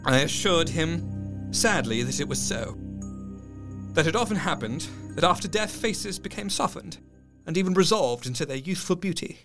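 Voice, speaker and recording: Casting: gentle, sombre doctor-diarist for period audio drama, slightly high male voice, measured delivery over music. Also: lively intonation. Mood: sombre, tender. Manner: measured, literary, solemn. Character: grieving young doctor narrating his diary, gentle and sombre. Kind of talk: dramatic first-person audio drama narration.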